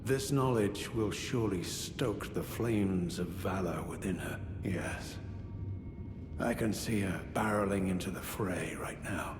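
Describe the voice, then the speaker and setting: An actor playing an aging, honorable warrior knight in a fantasy game, speaking in a measured, formal, ruminative way. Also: male voice, low-pitched. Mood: courteous, resigned. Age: older. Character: aging honorable male warrior knight, weary, grave, courteous. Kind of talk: dramatic fantasy video game dialogue. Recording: echoey hall with long reverb, music behind.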